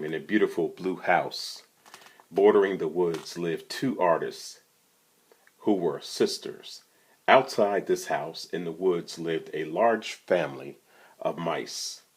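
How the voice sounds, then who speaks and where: A man's voice: low voice, breathy edge. A man reading a picture book aloud to children, conversationally, with casual asides and questions to the listener. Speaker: storytime reader.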